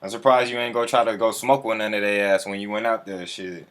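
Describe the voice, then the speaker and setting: A man's voice: fairly low pitch, level tone, brisk, fairly bright tone. A man hosting a casual livestream, talking in a laid-back, slangy, profane way and chuckling often. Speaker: livestream host.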